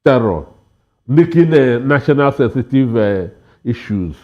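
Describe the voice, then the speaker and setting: A man giving political commentary as a panel guest, halting and repeating words for emphasis, on dull lo-fi audio. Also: mid-range voice, lively intonation, darkish tone.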